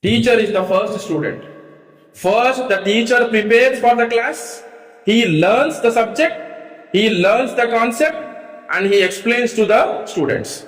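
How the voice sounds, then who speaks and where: A man's voice: very high voice, a little slow, a bit bright. A man addresses teachers in a workshop, repeating his key points earnestly and asking them for answers. His voice rings through a hall with a long, echoing reverb. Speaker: teacher trainer.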